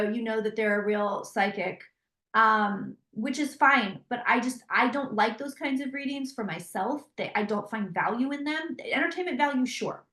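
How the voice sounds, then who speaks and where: A woman who reads tarot, telling stories in an animated, conversational way with frequent restarts. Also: on the high side, reverberant. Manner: animated storytelling with many restarts. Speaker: psychic tarot reader. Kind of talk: interview conversation.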